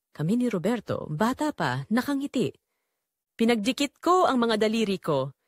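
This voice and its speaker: Expressive Filipino woman narrator, dry studio sound. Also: medium pitch, no room sound. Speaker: audio drama narrator. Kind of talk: scripted first-person dramatic narration.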